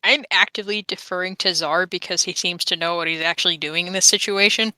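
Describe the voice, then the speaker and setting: A woman's voice: lowish voice, steady tone, fast talker, sharp tone, dry recording, rough recording. A woman playing a tabletop game, chatting casually in a wry, rambling way.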